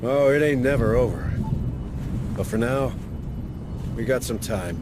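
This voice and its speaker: An actor playing a steady, dry-humored space marine sergeant in video game dialogue, folksy and reassuring. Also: male voice, mid-range voice, unhurried, close to an everyday voice. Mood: wry, reassuring. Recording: clear engine and ambient noise behind.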